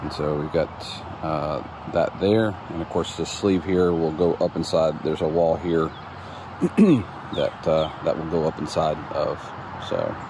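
A man with a very deep voice gives a relaxed, plain-spoken tour of his construction site, stopping often for ums and restarts. It was recorded outdoors on a handheld phone. Steady outdoor noise sits clearly behind him. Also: a little slow. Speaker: homeowner showing his build. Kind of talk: casual walkthrough narration. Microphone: phone camera held while walking.

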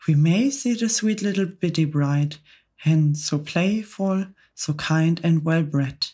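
A woman voicing an excitable, boastful male centipede who recites rhyming verse with relish. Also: low-pitched, slow pace, slightly rough, full character voice. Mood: gleeful.

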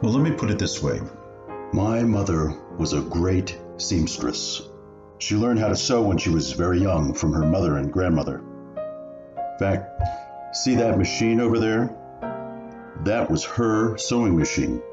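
A man acting an elderly refugee recalling his mother in a measured, reflective way for a radio play. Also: low-pitched, animated, highs cut off, over music. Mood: fond, reflective.

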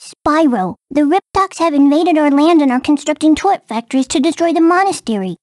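A woman's voice: sits high, expressive, a little fast, a little mellow, no room sound, studio quality. A woman voicing a friendly little monastery defender in a video game, direct and encouraging. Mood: earnest, encouraging. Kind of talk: video game character dialogue.

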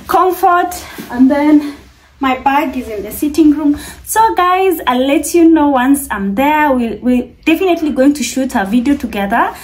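A woman's voice: high-pitched, darkish tone, a little hiss or hum. A young woman chatting casually to her viewers in a home vlog, filmed on a phone. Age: young adult. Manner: chatty, addresses viewers as guys. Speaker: vlogger. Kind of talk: casual vlog chat to camera. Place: modern home kitchen, indoors.

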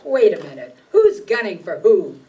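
A woman's voice: medium pitch, huge pitch swings, moderate pace, warm tone, dull audio, slight background noise. A woman playing a no-nonsense police sergeant in a radio noir, recorded in a hall. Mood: brusque. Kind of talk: 1950s radio noir acting. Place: stage in an indoor meeting hall, live reading. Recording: distant mic in a hall.

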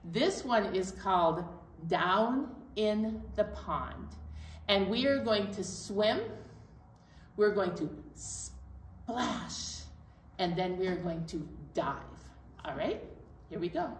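A middle-aged woman presenting storytime to young children, animated and encouraging as she talks and leads action songs. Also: mid-range voice, takes it slowly. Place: indoor library storytime set. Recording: roomy, distant mic, faint noise.

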